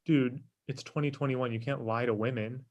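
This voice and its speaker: A man's voice: medium pitch, fairly even intonation, moderate pace, a little mellow, close to an everyday voice. A cocky eighteen-year-old male intern, acted by a man at a comedy table read. He has a mid-range voice and talks in a snide, slangy, mocking way.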